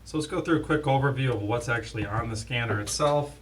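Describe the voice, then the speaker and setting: A man's voice: mid-range voice, quick pace. A man hosting a casual, unscripted product demonstration, talking like an in-house expert. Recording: distant mic, faint background noise.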